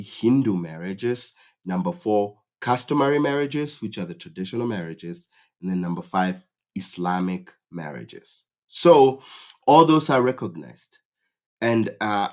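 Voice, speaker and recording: A man from Kenya hosting his channel, explaining in a casual, rambling way with many fillers and restarts. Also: on the low side. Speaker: YouTube channel host. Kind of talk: casual explainer talk to camera.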